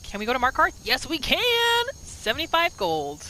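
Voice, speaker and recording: A woman's voice: high voice, wildly swooping pitch, normal speed, pushed and bright, a little hiss or hum. A woman giving casual, storytelling commentary as she narrates a roleplay video game. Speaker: gamer narrating a roleplay series.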